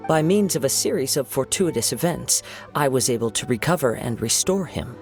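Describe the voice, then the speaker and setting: An actor playing a gentle, reflective young wandering swordsman in a video game, speaking in a measured, formal, contemplative way. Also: mid-range voice, lively intonation, soft background music, natural voice. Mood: polite, resolute.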